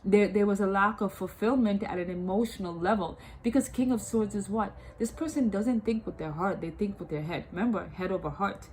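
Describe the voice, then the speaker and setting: A woman's voice: medium pitch, brisk, few pauses, a little mellow, a little hiss or hum, low-quality recording. A woman with a cold and a blocked nose, giving a tarot reading. She often repeats and restarts her words and keeps checking whether the listener understands. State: stuffed up from a cold. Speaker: tarot reader.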